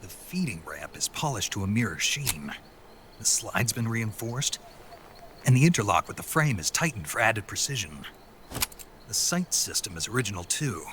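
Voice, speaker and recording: Gruff, wary male soldier for a game cutscene, low gravelly voice, terse and serious, night insects behind him. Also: sing-song, normal speed, fairly bright tone, a little hiss or hum. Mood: impressed, absorbed. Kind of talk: video game cutscene acting.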